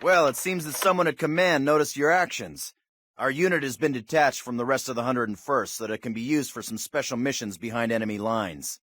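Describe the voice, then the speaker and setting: An actor playing a confident American army officer, giving an authoritative, orderly briefing to paratroopers in a video game. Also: male voice, mid-range voice, brisk, a bit bright, dry recording, natural voice. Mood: proud, dry. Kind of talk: military mission briefing, scripted game acting.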